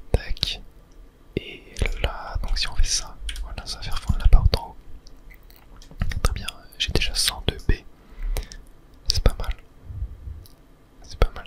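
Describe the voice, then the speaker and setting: A person whispering a casual ASMR commentary while playing a video game, thinking aloud in a tentative way. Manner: hushed, unvoiced whispering. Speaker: gaming video host.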